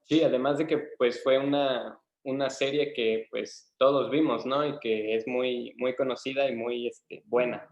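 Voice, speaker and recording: A young man moderating an informal interview, asking questions in a hesitant, halting way. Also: medium pitch, steady tone. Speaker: student moderator relaying audience questions. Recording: dull, echoey webinar audio.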